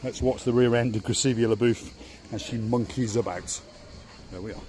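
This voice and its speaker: A man's voice: medium pitch, steady tone, moderate pace. A man hosting a casual walking-tour vlog, chatty and playful, teasing his companion as he films outdoors. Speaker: vlog host. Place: outdoor holiday resort. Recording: faint outdoor background noise.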